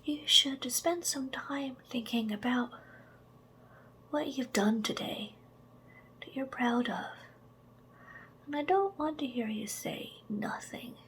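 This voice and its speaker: A woman whispering slow, soft ASMR affirmations straight to the listener, with chatty asides and a gentle sense of humor. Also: high voice, a little hiss or hum, poor audio. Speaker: ASMR creator.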